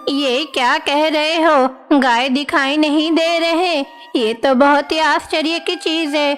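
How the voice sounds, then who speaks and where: A woman's voice: high voice, fairly even intonation, slow pace, soft background music. A woman voicing a concerned village grandmother who scolds and questions as she advises, in a cartoon story. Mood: surprised. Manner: admonishing, questioning.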